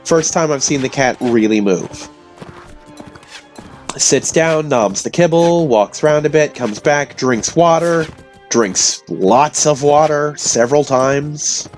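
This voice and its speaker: A man with a hoarse voice from illness, telling rambling stories casually to his audience. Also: a little high, lively intonation, slow pace, soft background music. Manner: meandering, self-interrupting, chatty. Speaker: vlogger.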